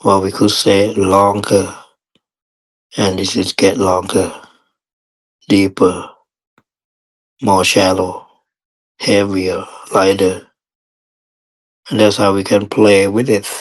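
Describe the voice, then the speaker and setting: A man teaching guided meditation in short, measured phrases with long pauses between them. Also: low voice, flat delivery, rough recording.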